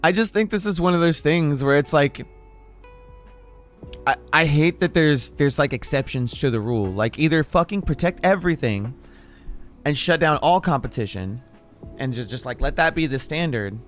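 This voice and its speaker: A man's voice: on the high side, expressive, moderate pace, soft background music. A young man hosting a casual talk show from his room. He talks loosely, swears freely and leans on lots of filler words. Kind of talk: casual unscripted chat. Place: home room, self-described cave.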